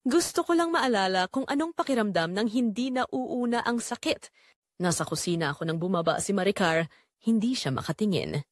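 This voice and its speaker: A woman with a Filipino accent playing a betrayed middle-aged mother, telling her story solemnly and deliberately in an audio drama. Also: medium pitch, no echo, clean studio sound. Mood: sorrowful, weary. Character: betrayed middle-aged mother, wounded but quietly resolute. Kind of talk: dramatic first-person audio story narration.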